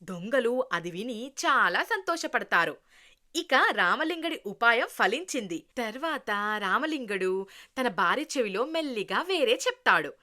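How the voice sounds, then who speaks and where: Woman reading a kids story, bright voice, animated and expressive. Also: on the high side, measured pace. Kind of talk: scripted narration of a children's moral tale.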